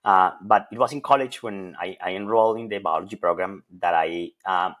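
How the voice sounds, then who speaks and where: Casting note: middle-aged man from Colombia, very low voice, academic, halting, repeats words while thinking. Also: fairly bright tone. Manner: thoughtful, many hesitations and repeated words. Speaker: associate professor of plant evolution. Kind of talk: interview answers.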